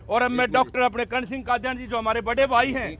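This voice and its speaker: Man, protest speaker, earnest, formal, high voice. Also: fairly even intonation, fast talker, fairly bright tone. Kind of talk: public address and interview.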